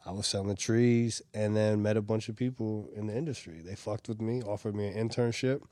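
Man, middle-aged, from San Francisco, lowish voice, casual and profane, filler-heavy chat, dry close mic. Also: fairly even intonation, fairly quick, dark tone, no echo. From San Francisco, USA. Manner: loose and profane, full of "like" and "you know". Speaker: guest.

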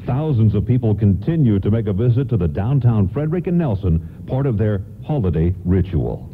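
A man's voice: fairly low pitch, big pitch swings, a little fast, background noise. A man working as a radio announcer, reading polished scripted narration and promos. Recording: narrow-band radio broadcast over loud music. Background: prominent music.

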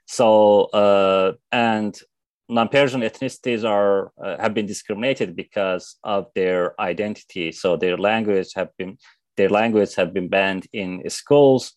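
A man's voice: on the low side, fairly even intonation, a little slow, dry recording. A young man from Iran, a native Azerbaijani Turkish speaker, answering interview questions in a hesitant way with many fillers and restarts. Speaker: interview guest, activist.

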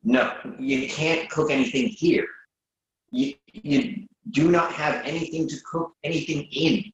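A man acting a reluctant, sensible friend with a halting, incredulous manner in a readers theatre comedy, recorded in an echoing room. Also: fairly high pitch, big pitch swings, creaky, lo-fi sound, heavily acted. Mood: exasperated. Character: exasperated, reluctant male friend, sensible but awkwardly polite.

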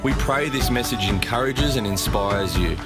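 A man's voice: medium pitch, moderate pace. A man reading a formal scripted church welcome announcement as a voice-over. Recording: voice-over over loud music.

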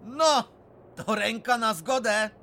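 An actor playing a clever, cheeky miner, crafty and teasing. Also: male voice, sits high, slow pace, sharp tone, slight background noise, full character voice. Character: clever cheeky Silesian miner Bulandra, adult man. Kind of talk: radio drama acting.

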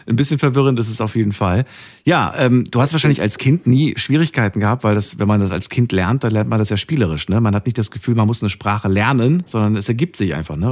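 A man hosting a phone-in radio show, his fairly low voice moving up and down with lots of life. His tone is a little husky, and he keeps the chat going with follow-up questions. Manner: chatty, asks follow-up questions. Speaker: radio host.

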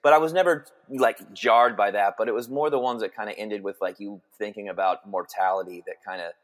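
A man in his forties from Texas, a guest chatting in an interview, who talks in halting bursts with many restarts. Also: lowish voice. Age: 40s. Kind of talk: casual interview chat.

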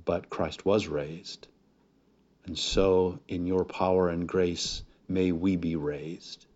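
A man speaking as a pastor in prayer and sermon introduction, with solemn, deliberate phrasing. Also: low-pitched, steady tone, darkish tone. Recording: dull, muffled lo-fi recording.

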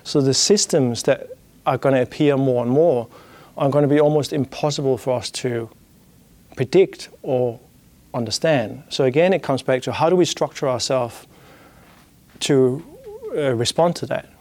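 A man's voice: mid-range voice, normal speed, a little mellow, dry recording. A man with a Danish accent giving a business talk, thinking aloud with many restarts and "you know" fillers.

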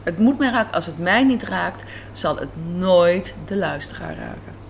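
A woman's voice: on the low side, animated, normal speed, creaky. Woman in reflective interview, some background noise. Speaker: writer and director being interviewed. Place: café interview.

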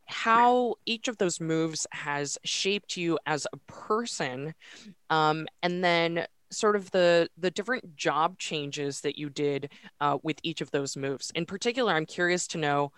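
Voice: mid-range voice, a bit bright, smooth, no echo, studio quality. A podcast host asking thoughtful interview questions, with frequent hesitations and fillers.

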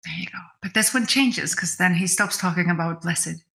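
Woman cohost on a spiritual podcast, bright clear voice, keeps circling back and rephrasing. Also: on the low side, normal speed. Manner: reflective, restates her points. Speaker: podcast co-host.

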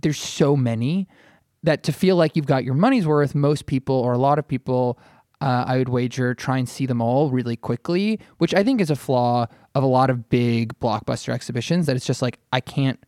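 A man's voice: medium pitch, moderate pace, a little mellow, no echo, clean studio sound. A man hosting a podcast in a casual, opinionated way, discussing art informally. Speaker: podcast host.

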